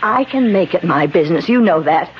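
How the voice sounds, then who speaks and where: A woman's voice: on the low side, expressive, a little fast, natural voice. An actress playing a stern, protective guardian woman with a commanding, theatrical delivery in a 1970s radio drama. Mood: indignant. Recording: muffled 1970s radio recording with hiss.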